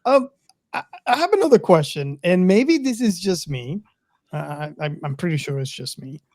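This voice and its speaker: A podcast host talks casually through a film discussion at a middling pitch. The delivery is hesitant, with stammered and repeated words, and the sound is very dry. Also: animated, moderate pace, darkish tone, no room sound.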